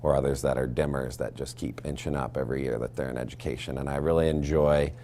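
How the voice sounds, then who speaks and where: A man's voice: a bass voice, fairly even intonation, quick pace, dark tone, slight background noise. An American man, a schoolteacher, talking plainly in an interview. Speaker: seventh grade history teacher. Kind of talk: plain-spoken interview talk.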